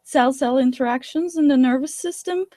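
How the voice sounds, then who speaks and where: Woman, higher voice, dry sound, thoughtful, measured, halting fillers, video call. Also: mellow, dry recording. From Turkey. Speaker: guest scientist. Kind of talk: interview answers.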